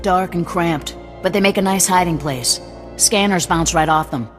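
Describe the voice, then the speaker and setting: An actress playing a hard-nosed, distrustful resistance leader who gives clipped, commanding orders. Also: female voice, on the low side, moderate pace, soft background music, close to an everyday voice. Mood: stern. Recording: echoey game cutscene with loud score.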